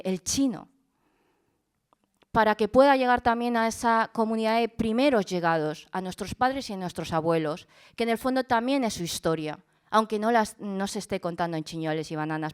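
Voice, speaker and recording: Woman with a smooth voice at a panel. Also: medium pitch. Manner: earnest, many fillers and restarts. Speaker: panel speaker and project founder. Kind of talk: poetry reading, then panel talk.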